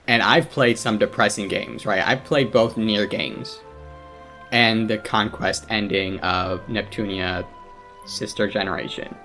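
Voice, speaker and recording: A man's voice: lowish voice, fairly even intonation, a little slow, pushed and bright, soft background music. Casual man commentating over a game. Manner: informal, rambling. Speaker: gaming channel host.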